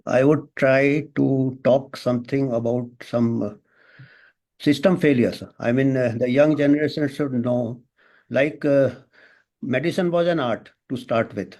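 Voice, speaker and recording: A man with an Indian accent giving extemporaneous panel remarks as a doctor, halting and thinking aloud with frequent false starts. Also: medium pitch, darkish tone.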